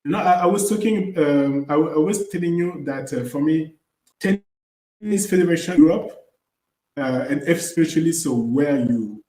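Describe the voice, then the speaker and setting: A man's voice: a little high, moderate pace, darkish tone, reverberant, low-quality recording. A man co-hosting a podcast, talking casually with frequent "you know" fillers and restarts. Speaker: podcast co-host.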